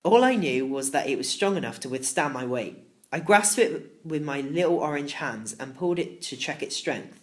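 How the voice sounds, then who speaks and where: A man narrating a children's novel in the first person, expressive and theatrical. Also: a little high, moderate pace. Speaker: audiobook narrator.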